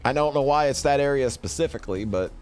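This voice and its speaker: A man's voice: mid-range voice, fast, darkish tone, smooth, soft background music. A middle-aged man chatting casually to a livestream, rambling playfully and often repeating himself. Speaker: streamer presenting a tier list. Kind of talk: casual livestream chat and commentary.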